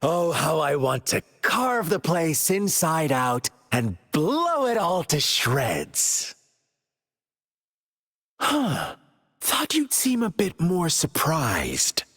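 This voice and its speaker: An actor playing a menacing, theatrical male villain in a game cutscene, slow and mocking, with cackling laughs. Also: fairly high pitch, wildly swooping pitch, fairly bright tone, breathy edge, exaggerated voice. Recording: long reverb in game mix.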